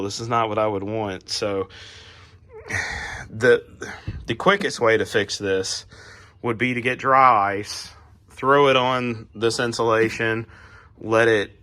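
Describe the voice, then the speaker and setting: A man hosting a casual garage how-to vlog while working on a car, his voice a little raspy as he gets over a cold, talking in a laid-back, rambling way with plenty of fillers. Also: fairly low pitch, bright, forceful tone. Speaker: garage channel host.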